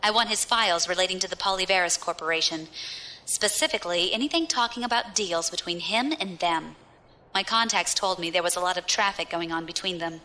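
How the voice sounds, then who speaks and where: A woman's voice: fairly low pitch, fairly quick, bright, forceful tone, slight background noise. An actress playing a cool, scheming female space pirate leader in an audio drama, wry, teasing and self-assured. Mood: determined, confident.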